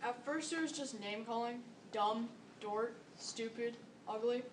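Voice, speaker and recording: A woman's voice: on the high side, a little slow. A seventh-grade girl performing a scripted readers theater monologue. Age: about 12 to 13, seventh grader. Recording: echoey room, distant mic, faint noise.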